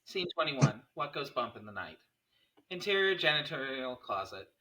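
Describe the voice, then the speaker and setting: Very bright, forceful male narrator. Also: medium pitch, big pitch swings, normal speed, poor audio. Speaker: narrator reading stage directions. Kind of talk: scripted screenplay narration at a table read.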